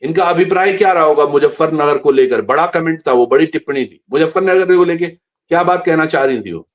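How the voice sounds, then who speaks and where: A man's voice: a little high, fairly quick. A man hosting a live stream interview, asking long questions. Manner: asks long, searching questions. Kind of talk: casual interview. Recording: dull lo-fi live stream audio.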